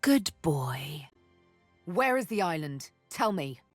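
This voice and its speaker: A woman's voice: sits low, very animated, no echo, full character voice. A woman playing a sly, bitter elf assassin and former slave in a video game, with a teasing, menacing way of telling things. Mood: cold menace. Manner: slow, teasing, menacing storytelling delivery. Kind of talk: video game character acting. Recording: music behind the voice.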